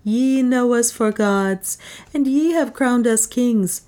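Imperious young goddess-queen, read by a woman, high declaiming voice, lofty commands. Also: takes it slowly, a little mellow.

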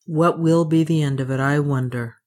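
A woman's voice: sits low, fairly even intonation, moderate pace, a little mellow, lightly acted. A woman reading an audiobook, voicing a determined Englishman who talks earnestly and resolutely. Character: adult Englishman Leonard, steady and determined.

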